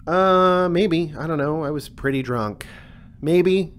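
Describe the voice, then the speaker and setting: A woman's voice: low voice, slow, a little hiss or hum. A woman playing an irritable, hungover male desk clerk in a comic audio drama, muttering and curt. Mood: curt, queasy.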